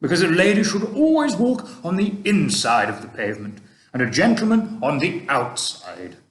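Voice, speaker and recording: A man's voice: fairly high pitch, sing-song, moderate pace, echoey room, pitched above the performer's own voice. A man voicing a kindly, genteel old male tramp, polite and old-fashioned. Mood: fussy, genteel.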